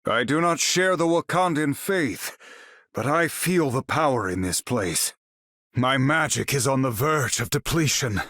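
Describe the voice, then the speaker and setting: An actor playing a proud, battle-ready sorcerer hero in a video game, speaking in a grandiose, formal, theatrical manner. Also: male voice, medium pitch, expressive, a little slow, fairly bright tone, no echo, studio quality. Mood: proud, solemn. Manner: grandiose, formal, incantatory delivery. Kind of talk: video game character voice lines.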